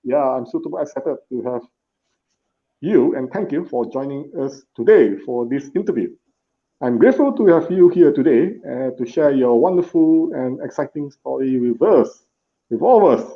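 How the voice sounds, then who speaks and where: A man hosts a live online interview at an unhurried, earnest pace, often pausing on "uh" and restarting his sentences. His voice sits at an ordinary middle pitch. The audio comes through muffled and lo-fi, like a live-stream feed. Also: animated. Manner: slow, earnest, with frequent uh fillers and restarts.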